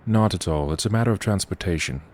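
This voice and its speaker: Man playing a very deep, ominous haunted-inn agent. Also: darkish tone, close to an everyday voice. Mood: formal, grave. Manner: formal, measured, ominous. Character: grave, formal male representative of a haunted inn. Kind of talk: audio horror drama acting.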